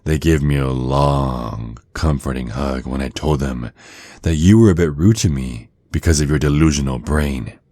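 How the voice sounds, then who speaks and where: An extremely low-voiced vampire acted out by a man, smug and possessive, speaks close and dry as if to a captive. The delivery is seductive, teasing and deliberate, in the manner of an intimate ASMR roleplay. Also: mellow, no echo. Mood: taunting, menacing. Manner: slow, teasing, deliberate, with breathy drops toward a whisper. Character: menacing, possessive male vampire neighbor, smugly seductive.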